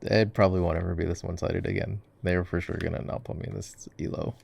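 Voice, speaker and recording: A man in casual gaming chat for an ASMR roleplay, soft-spoken and murmuring as if to himself. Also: low-pitched, little pitch movement, fast talker, creaky, breathy edge. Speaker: voice actor roleplaying a gamer boyfriend. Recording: faint noise with keyboard and mouse clicks. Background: keyboard typing and clicking.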